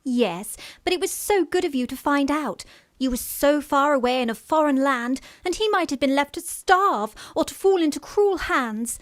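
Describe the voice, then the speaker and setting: Radio farce: actress as trusting, sincere young lady of Victorian times, very high pitch, gushing and polite. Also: animated, normal speed, no echo, studio quality. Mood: earnest, admiring.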